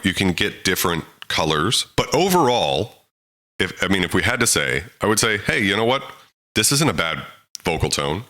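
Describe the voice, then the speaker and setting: A man with a very low, deep voice and a crisp, bright edge talks through microphone tips in a loose, chatty way, with fillers like "um" and "you know". He speaks close to a handheld mic in a home studio. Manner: relaxed, chatty, frequent fillers. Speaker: audio tutorial host. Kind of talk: casual how-to explainer.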